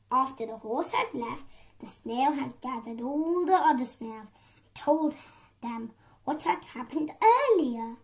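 A woman's voice: high-pitched, a little mellow. A young girl narrating a children's story as its storyteller.

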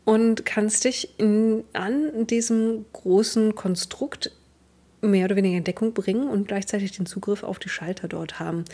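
A woman's voice: medium pitch, fairly even intonation, moderate pace, warm tone. A young woman, improvising narration as game master of a tabletop role-playing game. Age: young adult. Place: indoors at home, on a video call.

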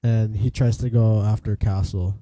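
A man's voice: lowish voice, steady tone, moderate pace, mellow, dry recording. A man co-hosting a podcast, chatting casually about a TV show, laughing often and repeating words as he restarts. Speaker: podcast co-host. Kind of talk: casual review chat.

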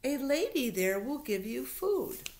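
A middle-aged woman reading Bible stories aloud to young children, explaining simply and patiently with deliberate emphasis. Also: high-pitched, very animated, a little mellow, slight background noise. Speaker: children's Sunday school storyteller. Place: living room at home. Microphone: webcam or laptop microphone at arm's length.

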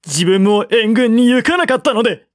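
Audiobook role: defensive young warrior son done by a man, earnest and pleading, pitched up. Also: lively intonation, moderate pace, dry recording, clean studio sound. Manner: earnest, pleading self-justification.

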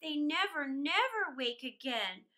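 A woman's voice: sits high, sing-song, measured pace, pushed and bright, voice pushed up, full character voice. A woman voicing a dainty little female fly from a storybook, polite, wary and hesitant.

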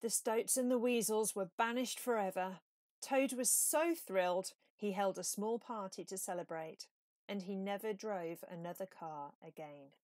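A woman reading a children's storybook aloud in a measured, expressive way. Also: medium pitch, no echo, studio quality. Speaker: storybook reader. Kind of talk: scripted children's story narration.

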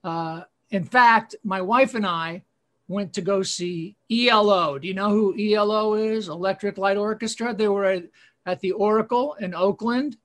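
A man in his sixties doing a rambling, self-deprecating stand-up routine over a webcam video call, often halting with fillers. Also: high voice, a bit bright. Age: 60s. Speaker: stand-up comedian.

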